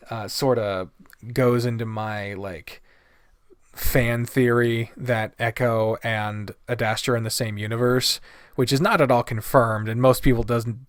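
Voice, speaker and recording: A man's voice: medium pitch, no room sound, clean studio sound. A man giving a casual, opinionated review rant in a blunt, exasperated, swear-heavy way. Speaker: video host.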